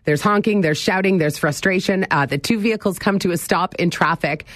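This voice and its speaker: A middle-aged woman hosting a morning radio talk show, chatty and animated as she talks straight to her listeners. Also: fairly low pitch, brisk, dry recording, studio quality. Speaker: radio host. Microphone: studio microphone.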